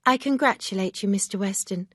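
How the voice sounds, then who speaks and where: A woman's voice: mid-range voice, a little fast, no room sound, clean studio sound, pitched above the performer's own voice, natural voice. A woman reading an audiobook, voicing a proud, earnest young woman who speaks with firm emphasis.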